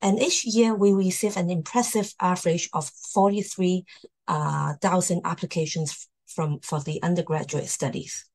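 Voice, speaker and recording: A woman's voice: on the low side, fairly even intonation, moderate pace, darkish tone. A woman hosting and presenting in a formal slide talk over a video call. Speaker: host, university admissions presenter.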